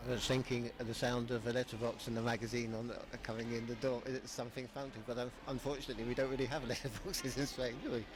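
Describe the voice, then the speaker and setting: A man hosting a radio interview, relaxed and hesitant with frequent uh fillers. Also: mid-range voice, fast talker, hardly any pauses, background noise. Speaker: radio host.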